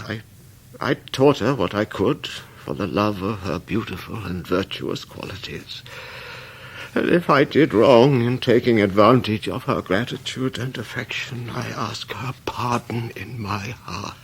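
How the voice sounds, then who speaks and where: An actor playing a gentle, honourable old scholar in a period radio drama, speaking with a British accent in a halting, earnest, dignified way. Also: male voice, on the low side, animated, creaky, husky, full character voice. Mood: anguished, remorseful. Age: older.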